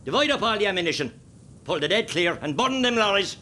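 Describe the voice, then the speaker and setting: An actor playing a brisk, hard rebel commander in a radio play, barking curt orders. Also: male voice, high-pitched, big pitch swings, sharp tone, heavily acted. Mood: urgent commanding.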